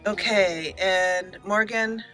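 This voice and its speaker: Bright-voiced woman gamer, playful and chatty. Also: medium pitch, fairly even intonation, slow, soft background music. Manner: chatty, playful mock indignation, mild joke swears. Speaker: gaming channel host. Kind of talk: casual let's play commentary.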